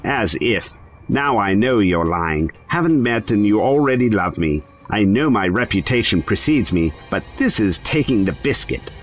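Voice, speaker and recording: Male lead, lower register, animated delivery, sardonic. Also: normal speed, soft background music. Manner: wry, sardonic inner monologue. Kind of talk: audio drama acting.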